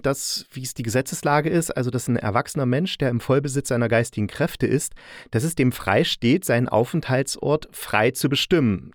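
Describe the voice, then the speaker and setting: A man's voice: fairly low pitch, no echo, clean studio sound. A man hosting a podcast, giving a casual, chatty spoken review with self-correcting asides. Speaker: podcast host reviewing radio plays.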